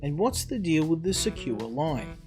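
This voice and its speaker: A man reading visual novel dialogue as a new mayor, a polite and careful official with a measured manner. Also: fairly high pitch, very animated, darkish tone, soft background music.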